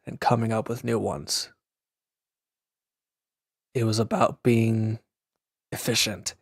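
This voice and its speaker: Guy doing a grumpy ex villain for audio roleplay, lowish voice, curt n sarcastic, really close dry sound. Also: no echo. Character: wounded former villain, sullen, defensive, restless young man. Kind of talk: intimate audio roleplay acting.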